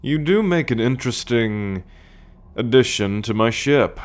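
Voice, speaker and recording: Guy doing a sardonic alien captor for asmr, kinda low voice, really slow and deliberate, possessive and mocking. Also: lightly acted. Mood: teasing threat. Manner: slow, deliberate, condescending, teasing. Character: menacing, sardonic male alien space captor, coolly possessive. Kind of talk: ASMR roleplay monologue addressed to the listener.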